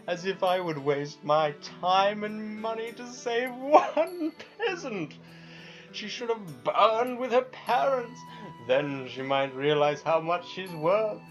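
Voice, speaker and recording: A man's voice: high voice, big pitch swings, takes it slowly, soft background music, exaggerated voice. A man playing a cruel, gloating pharaoh in a dramatic story reading, speaking with swooping contempt.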